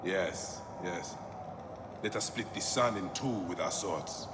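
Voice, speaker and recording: A man playing a stoic, serious warrior with a terse, grave, measured delivery. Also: sits low. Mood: guarded, resolute. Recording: noisy ambient background.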